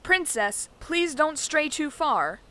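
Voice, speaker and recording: A woman's voice: high voice, sing-song, slow, fairly bright tone, slight background noise, exaggerated voice. A woman playing a protective, wry female knight who guards a princess in a fantasy audio drama.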